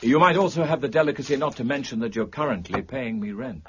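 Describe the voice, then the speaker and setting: An actor playing a fussy, eccentric gentleman of reduced means in a period radio drama, brusque and precise with formal diction. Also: mid-range voice, animated, fast, muffled recording, background noise, close to an everyday voice. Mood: pedantic.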